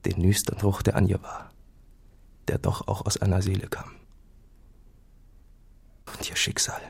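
An actor playing a solemn, brooding farmer in a literary radio drama, speaking gravely and deliberately. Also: male voice, low voice, completely flat delivery, darkish tone, exaggerated voice.

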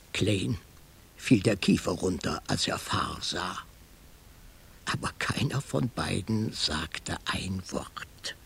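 Gravelly hardboiled detective. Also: male voice, medium pitch, heavily acted. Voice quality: gravelly, husky. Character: hardboiled male private detective narrating his case. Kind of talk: radio crime drama narration.

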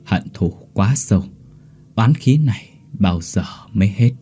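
Man playing grave, husky exorcist master. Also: fairly low pitch, animated, unhurried, dark tone, heavily acted. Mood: grim. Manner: solemn, pronouncing. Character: grave exorcist sorcerer master, solemn and commanding.

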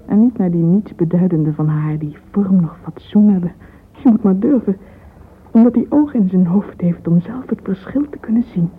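Breathy, whispering young woman acted in intimate monologue. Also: medium pitch, normal speed, lightly acted. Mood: vain, secretive. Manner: intimate, confiding. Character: dreamy, vain, catty romantic young woman musing privately. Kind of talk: radio drama interior monologue. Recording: tinny, hissy old radio recording.

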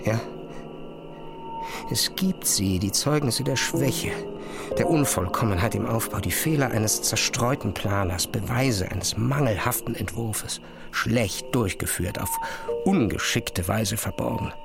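An actor playing an obsessed, haunted physicist, thinking aloud in an intense, fragmented, brooding inner monologue for an audio drama. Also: male voice, fairly low pitch, unhurried, gravelly, over music. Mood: brooding, feverish.

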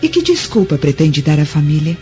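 A woman's voice: deep voice, big pitch swings, brisk, darkish tone. An actress playing a woman in a Roman radio melodrama. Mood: eager, questioning. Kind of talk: radio drama acting. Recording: dull echoey radio drama, music behind.

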